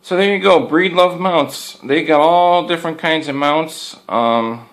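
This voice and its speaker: A man's voice: a little high, measured pace, fairly bright tone, rough recording. A man giving a casual, unscripted product review as a hobbyist, rambling in a folksy way and circling back over his points. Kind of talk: casual unscripted show-and-tell.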